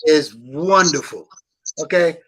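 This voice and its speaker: A man's voice: a little high, slow, sharp tone, poor audio. A man with a Brooklyn accent, a guest in a casual chat who rambles with lots of "you know" fillers. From Brooklyn, New York.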